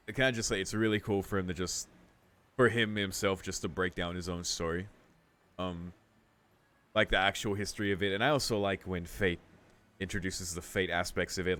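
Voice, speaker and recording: A man's voice: sits low, fairly bright tone. A man hosting a gaming video, reading aloud and commenting in a casual, rambling, slangy way.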